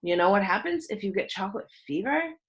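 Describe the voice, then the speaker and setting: A woman reading aloud plays a cornered boy in a children's storybook. He is polite yet firm and quick-witted, speaking with deliberate control and very varied pitch. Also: sing-song, natural voice. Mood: ominous warning.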